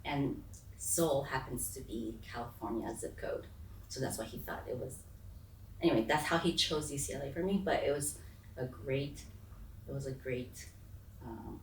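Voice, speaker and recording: A woman in her forties with an American accent and a low voice tells her own story conversationally. She is candid and informal, often wandering into side details. The sound is echoey and distant from the mic, with faint noise behind her. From raised on US military bases, largely in South Korea. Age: 40s. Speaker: interview guest. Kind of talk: conversational life-story telling.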